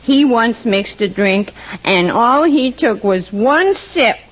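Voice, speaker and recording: An actress playing a breezy household maid who tells tall tales deadpan in a 1940s radio comedy. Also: female voice, fairly high pitch, slow. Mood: matter-of-fact boasting. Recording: dull vintage radio recording.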